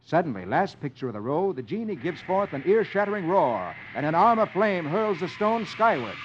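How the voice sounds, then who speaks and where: Animated radio storyteller, a man, highish voice. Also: moderate pace, background noise. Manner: theatrical, sing-song storyteller delivery. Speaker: host reading newspaper comics to children. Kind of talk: 1950s children's radio storytelling. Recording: muffled old radio recording with music.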